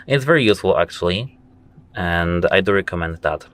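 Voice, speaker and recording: A man with a very low voice hosts a casual how-to video. He walks through each setting step by step and keeps pointing things out with "as you can see". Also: lively intonation, brisk, a bit bright. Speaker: tech tutorial host. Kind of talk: casual phone how-to walkthrough.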